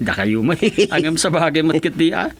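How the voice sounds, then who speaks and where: A man's voice: on the high side, huge pitch swings, normal speed, slightly rough, heavily acted. A man with an Ilocano accent playing a gossipy, chuckling villager in a radio drama comedy. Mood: gossipy, amused.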